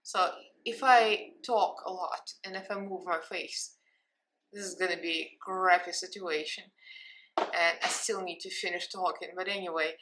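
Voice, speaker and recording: A woman's voice: fairly low pitch, steady tone, moderate pace, noisy background. A woman with a Russian accent, a makeup vlogger talking through a tutorial in a casual, candid, self-mocking way.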